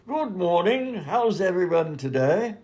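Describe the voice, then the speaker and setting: A man acts out a dotty, genial doctor for a radio comedy. The pitch swoops up and down as the character dithers politely, oblivious to everything. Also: very animated, a little slow, darkish tone, a little hiss or hum, heavily acted. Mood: cheerful, befuddled. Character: dotty, genial, absent-minded male doctor. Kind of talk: comedy radio sketch acting.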